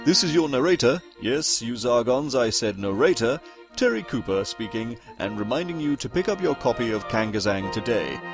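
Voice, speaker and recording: Male announcer for audio-show credits; mid-range voice, scripted narration over a clear music bed. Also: medium pitch, animated, moderate pace.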